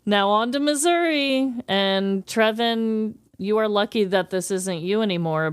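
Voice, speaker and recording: A woman's voice: fairly high pitch, clear, resonant tone, no room sound, studio quality. A woman co-hosting a casual podcast from the Midwest, playfully reading out items and chatting. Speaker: podcast co-host. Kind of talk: casual chat, reading out a list.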